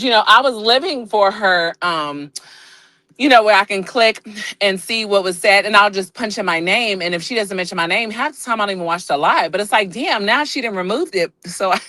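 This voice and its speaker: A woman's voice: mid-range voice, expressive, normal speed, sharp tone, no room sound. A woman guest on a livestream, chatting casually and sassily from a truck stop while on the road for work. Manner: sassy, calls people girl and honey. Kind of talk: casual chat.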